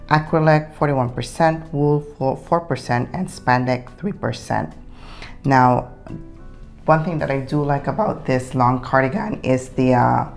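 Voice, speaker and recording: A woman vlogger, raspy from a recent illness, chatting through a clothing haul with many ums and reading out product details. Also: a bass voice, a little mellow. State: raspy after being sick. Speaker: fashion vlogger. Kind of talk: casual try-on haul chat.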